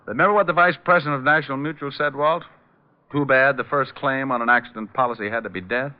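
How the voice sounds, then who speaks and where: An actor playing a shrewd, cool private detective in vintage radio style, asking brisk, probing questions. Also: male voice, medium pitch, fairly even intonation, natural voice. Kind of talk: 1940s-50s radio detective acting. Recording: muffled, narrow-band old radio recording.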